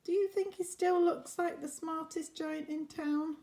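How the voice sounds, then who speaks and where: A woman's voice: very high voice, fairly even intonation, a little slow, mellow. A woman narrating a children's story expressively, like a teacher, with chatty asides to her listeners. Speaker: teacher reading a picture book.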